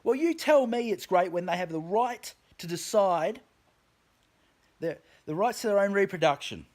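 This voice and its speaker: A man's voice: sits high, lively intonation, normal speed. A man delivering a profane opinion rant to camera, emphatic and full of swearing, often stumbling and restarting his words. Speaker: vlogger.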